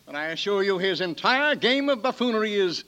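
An actor playing a pompous, insistent prosecutor in a 1940s radio drama, with formal, oratorical speech. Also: male voice, sits high, lively intonation, brisk, pushed and bright, muffled recording. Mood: indignant. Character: pompous, pressing male courtroom prosecutor.

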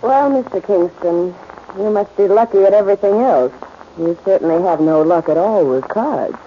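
A muffled old radio recording of a woman playing a shrewd lady who runs a gambling house. She is poised and commanding, her polite delivery moving widely in pitch, in period radio drama style. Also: expressive, normal speed, faint music behind, close to an everyday voice. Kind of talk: 1940s radio acting.

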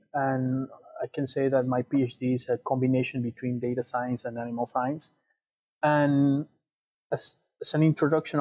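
A young man from Ecuador with an Ecuadorian Spanish accent explains research as an interview guest, in a measured way, often repeating words as they go. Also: mid-range voice, darkish tone, slightly rough, rough recording. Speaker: guest researcher, PhD student.

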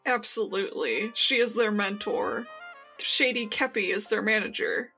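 A woman co-hosting a podcast in casual fan chat, talking in an excitable, playfully sweary way. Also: medium pitch, normal speed, faint music behind. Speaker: podcast co-host.

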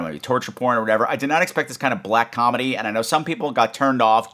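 Animated, campy man hosting a podcast. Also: medium pitch. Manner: campy, exclamatory, profane. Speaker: horror podcast host. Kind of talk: casual chat responding to listener voicemails.

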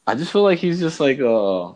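A man's voice: medium pitch, sing-song, moderate pace, a little mellow. A man co-hosting a podcast in casual, slangy chat, feeling his way through sentences, with background noise. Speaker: podcast co-host.

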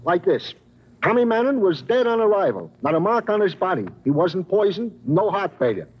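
A man's voice: high-pitched, big pitch swings, normal speed, husky, exaggerated voice. An American man playing a chatty, eager police sergeant giving clipped reports with folksy asides in a radio drama. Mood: eager, official. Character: chatty, eager male police sergeant briefing his boss. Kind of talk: 1950s radio crime drama acting. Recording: muffled, noisy vintage radio recording.

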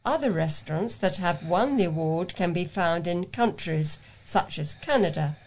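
A woman's voice: on the low side, expressive, moderate pace. A woman reading scripted local news items as a volunteer newsreader.

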